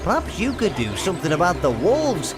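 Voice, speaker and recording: An actor playing a lovelorn, timid village bard in a comic video game, hesitant, earnest and melodramatic, with music behind. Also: male voice, high-pitched, wildly swooping pitch, brisk. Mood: wistful, hopeful.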